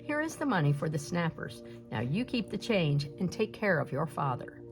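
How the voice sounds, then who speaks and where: A woman's voice: on the low side, sing-song, moderate pace, over music. A woman voicing a rich, generous old male merchant in a storybook, hearty and full of wonder.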